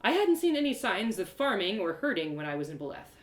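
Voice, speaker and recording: A woman narrating a first-person adventure story in an expressive, theatrical way with dramatic pauses. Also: mid-range voice, echoey room. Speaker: storyteller performing own one-woman audio drama.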